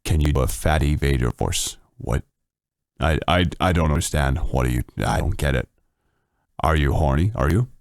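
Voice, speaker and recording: Man doing a low, slow-crooning radio-show seducer, dry and close. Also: no room sound. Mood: sultry. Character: smooth deep-voiced male late-night radio host. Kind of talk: joking put-on voice for a livestream. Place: home at night.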